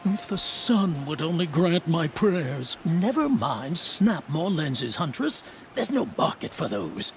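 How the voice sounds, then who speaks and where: An actor playing an exasperated, fussy trader in a video game, sardonic and formal with customers. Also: male voice, on the high side, very animated, slow, gravelly, slight background noise, full character voice. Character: exasperated, fussy male trader correcting his partner.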